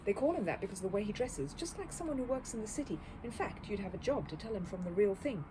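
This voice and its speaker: A woman puts on a kindly older male antique dealer from a storybook. He speaks with courteous concern and mild reproof, in an animated voice over audible noise. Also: mid-range voice, fast talker, close to an everyday voice. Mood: confiding. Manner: solicitous, mildly reproving, courteous.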